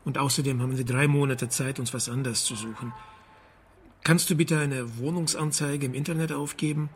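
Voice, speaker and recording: An actor playing a sardonic, unflappable private detective in a German radio drama, dry and ironic. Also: male voice, medium pitch, steady tone, normal speed, lightly acted. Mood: businesslike. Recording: faint background noise.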